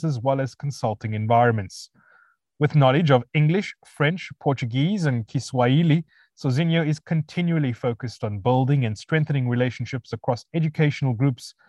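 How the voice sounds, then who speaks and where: A man hosting an interview, reading a prepared introduction and then asking questions with pauses. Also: medium pitch, a little mellow, no room sound. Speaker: podcast host.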